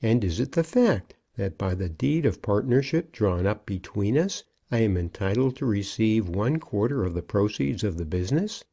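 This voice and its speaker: A man's voice: on the low side, expressive, normal speed, creaky, hardly any pauses, mellow. A man voicing a pompous, earnest junior business partner, formal and insistent, in an audiobook. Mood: earnest, demanding. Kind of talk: audiobook character acting.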